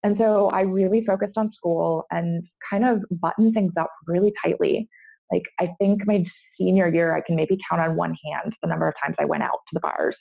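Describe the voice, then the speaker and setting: American woman, creaky and slightly husky. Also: fairly low pitch, steady tone, moderate pace, phone-quality sound. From United States. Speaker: podcast guest. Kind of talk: candid personal storytelling in an interview. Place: at home, remote podcast call.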